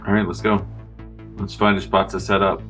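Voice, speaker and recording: A man's voice: sits low, level tone, moderate pace, fairly bright tone, faint music behind. A man talking casually as a player in a tabletop game.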